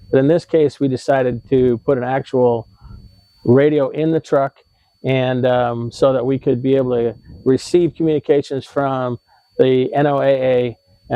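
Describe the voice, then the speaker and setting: A man with a mellow, easygoing voice gives a casual how-to explanation. He talks conversationally and a little haltingly. The sound is dry and slightly dull, with very little room on it. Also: mid-range voice, no room sound. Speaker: vlog host giving RV tips.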